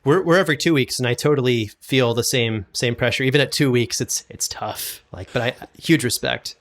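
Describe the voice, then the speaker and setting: A man with a mid-pitched voice talks casually as an interview guest. He sounds agreeable and enthusiastic, explaining his craft informally. He is recorded close on a studio condenser microphone. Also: brisk, fairly bright tone. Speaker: podcast guest, audio drama producer and sound designer. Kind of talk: casual interview chat about audio production.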